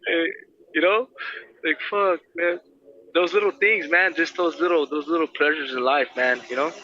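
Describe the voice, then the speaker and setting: Bright, effusive man on a lo-fi call. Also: on the high side, fairly even intonation, moderate pace. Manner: effusive, slangy, repeats himself, says bro and man often. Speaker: call-in guest phoning from prison. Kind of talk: casual phone chat. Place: prison, on a phone or video visit call. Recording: dull lo-fi phone call with hiss.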